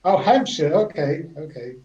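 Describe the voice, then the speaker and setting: A man's voice: a little high, expressive, moderate pace, mellow, recorded from a distance, a little hiss or hum. A man with a Southern English home counties accent, chatting on a panel with hesitant, dry humour. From South East England. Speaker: panel guest. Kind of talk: casual group chat. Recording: roomy, dull lo-fi sound.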